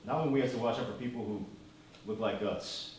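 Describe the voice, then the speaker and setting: A man's voice: mid-range voice, fairly quick, a little mellow, lightly acted. A man acting in a stage play as a loyal, worried, hard-headed bodyguard and aide, urgent and pleading in his delivery. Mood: worried, serious. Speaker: stage actor. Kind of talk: live one-act stage play acting. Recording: very echoey stage, distant mic, faint noise.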